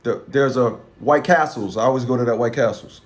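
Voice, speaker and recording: A man's voice: mid-range voice, brisk. A man with an American accent hosting a call-in advice chat, blunt and profane, repeating himself and cutting in. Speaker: call-in advice show host. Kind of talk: informal phone consultation, casual chat.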